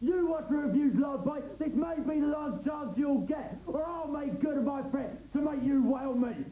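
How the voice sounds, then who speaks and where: A man playing a blustering, vengeful pirate villain who rants and shouts threats in a comedy show. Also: very high voice, normal speed, roomy sound, slight background noise, low-quality recording. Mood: gloating.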